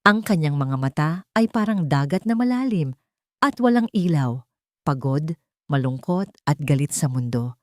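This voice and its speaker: A woman's voice: low voice, lively intonation, moderate pace, a little mellow, dry recording, clean studio sound. A woman reading a dramatic scripted story as its narrator.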